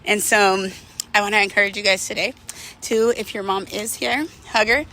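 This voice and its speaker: A woman's voice: medium pitch, steady tone, moderate pace, pushed and bright, a little hiss or hum. A woman talking casually and candidly to her viewers in a reflective, personal monologue, using conversational slang. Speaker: vlogger telling personal stories to viewers.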